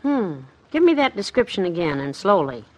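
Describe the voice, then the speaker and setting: A woman playing a calm, sensible, gently doubting wife in an old-time radio comedy. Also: mid-range voice, moderate pace, low-quality recording. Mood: curious.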